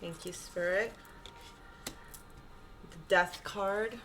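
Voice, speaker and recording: A woman with a stuffy, congested nose giving a gentle, reassuring spiritual tarot reading and often checking in with her listeners. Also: mid-range voice, fairly even intonation, very slow, heavy background noise. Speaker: tarot reader.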